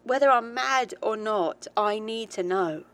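A woman's voice: a little high, a little slow, a bit bright. A woman playing a haunted, determined researcher who gives a reflective, hesitant confession in a horror audio drama diary. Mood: insistent. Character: haunted, determined woman researcher, British-born, recalling childhood ghosts.

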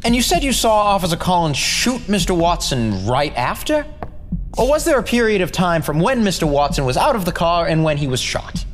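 A man's voice: high-pitched, very animated, brisk. An actor playing a methodical male defense lawyer in a courtroom drama, asking formal, insistent questions. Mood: pressing. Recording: reverberant room, faint noise.

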